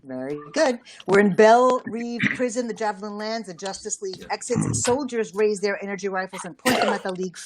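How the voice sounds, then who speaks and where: A woman voice director briskly reading stage directions and cueing actors. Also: mid-range voice, noisy background.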